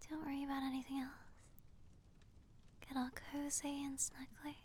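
A woman speaking in a breathy, often whispered voice, affectionate and intimate, as in adult roleplay audio addressed to a partner. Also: sits high, monotone, normal speed, darkish tone.

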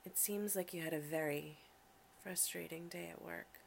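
A woman playing an affectionate but dominant partner in an intimate audio roleplay, with a creaky voice and a deliberate, controlled delivery. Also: on the low side, fairly even intonation, a little hiss or hum. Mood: commanding, sultry. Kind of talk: intimate solo audio roleplay addressed to the listener.

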